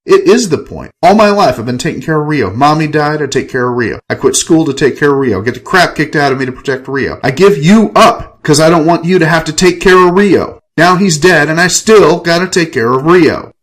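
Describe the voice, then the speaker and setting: A man's voice: medium pitch, very animated, moderate pace, creaky, hardly any pauses, slightly rough, exaggerated voice. Beleaguered male loser for a crime audiobook, performed by a male narrator; drunken, sardonic, slangy, plaintive. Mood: exasperated, pleading.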